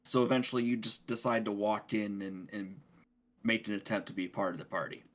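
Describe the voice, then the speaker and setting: A man with a fairly low voice talks casually as a podcast co-host. He explains things in a halting way, often doubling back on his words. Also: fairly even intonation. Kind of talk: casual gaming podcast chat.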